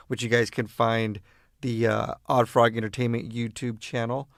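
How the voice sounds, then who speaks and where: Slow, gravelly American radio DJ. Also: male voice, medium pitch, no room sound, studio quality. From East Los Angeles. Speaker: radio disc jockey and host. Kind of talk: casual radio show talk.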